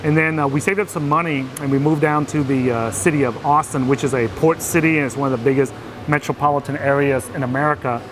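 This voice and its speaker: A man's voice: a little high, steady tone, quick pace, noisy background. A man from Vietnam, a guest telling his life story in a casual interview, with many fillers and restarts.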